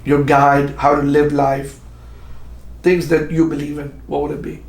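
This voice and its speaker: A man's voice: fairly high pitch, level tone, unhurried. A man from Pakistan hosting a casual interview podcast, often repeating words and restarting his sentences. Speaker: podcast host. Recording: echoey room.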